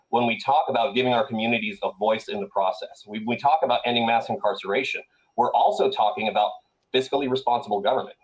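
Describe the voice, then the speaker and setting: A man's voice: medium pitch, poor audio. A man from Colombia, a political candidate, answering interview questions with earnest campaign-speech delivery and frequent "uh" fillers.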